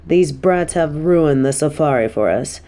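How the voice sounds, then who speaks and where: A woman's voice: low voice, animated, unhurried, mellow, very echoey. A woman voicing a haughty fallen angel in an audio drama, imperious and pompous with archaic formal diction, yet fond of her demon companion. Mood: brisk, imperious.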